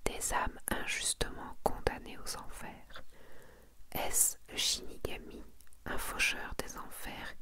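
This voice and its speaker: Whispering woman, soft ASMR presentation. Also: takes it slowly. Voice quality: breathy, unvoiced whisper. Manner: intimate, addressing one listener informally. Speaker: ASMR video creator presenting a manga collection.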